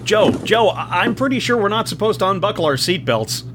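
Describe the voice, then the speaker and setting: An actor playing a chatty, slightly uneasy tour guest with a husky voice in a comedic audio drama. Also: male voice, high-pitched, animated, a little fast, a bit bright, slight background noise.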